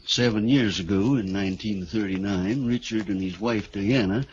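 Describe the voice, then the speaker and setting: An actor playing a grave house seller in a 1940s radio drama, telling an ominous story in a measured, halting way. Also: male voice, lowish voice, darkish tone, natural voice. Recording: dull, faintly noisy old radio recording.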